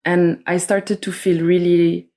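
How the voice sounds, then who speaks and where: A middle-aged woman from Switzerland speaks as an interview guest. Her voice sits low and stays level, with little rise and fall. She talks slowly and softly, pausing often to search for her words. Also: little pitch movement. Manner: slow, soft-spoken, searching for words. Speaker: guest, somatic educator and astrologer. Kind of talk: reflective interview talk.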